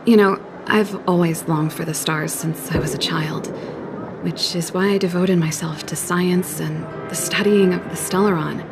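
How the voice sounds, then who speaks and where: A woman's voice: fairly low pitch, steady tone, normal speed, music in the background, lightly acted. A woman voicing a laid-back, candid young scientist with playful confidence in a game. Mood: reflective. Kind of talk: game cutscene voice acting.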